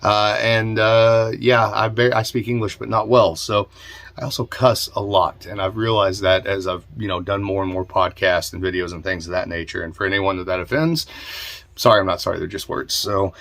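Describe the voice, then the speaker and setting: Low-voiced American man, plain-spoken podcast host. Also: steady tone, normal speed, vocal fry, fairly bright tone. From southern Indiana, United States. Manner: plain-spoken, informal, self-deprecating. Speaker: host of a distilling podcast and channel. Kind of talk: casual explanatory talk answering viewer questions.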